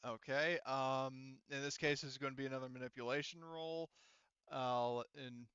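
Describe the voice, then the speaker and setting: A man refereeing a casual tabletop game as game master, halting and thinking aloud as he talks. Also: medium pitch, steady tone, a bit bright, smooth, dry recording, highs cut off.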